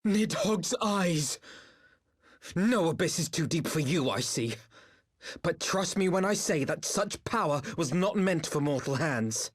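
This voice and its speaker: A woman's voice: low voice, animated, unhurried, breathy edge, heavily acted. A woman playing an earnest, principled young noble in a fantasy game, speaking with formal, grave diction. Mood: grave warning. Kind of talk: dramatic fantasy game voice acting.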